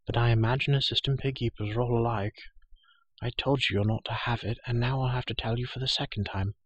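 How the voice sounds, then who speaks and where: A man's voice: mid-range voice, steady tone, fast, breathy edge, no echo, lo-fi sound, natural voice. A man voicing an irritable, haughty young girl in an audiobook, scolding in a drowsy murmur. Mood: drowsy irritation. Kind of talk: audiobook character voice.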